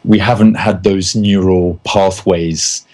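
A man's voice: low voice, fairly even intonation, slow, a little mellow. An Englishman in casual conversation, enthusiastic and often restarting his phrases. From England.

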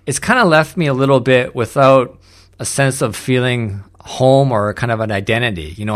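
A man from Canada, hosting a casual conversation and telling his own story in a relaxed, reflective way. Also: medium pitch.

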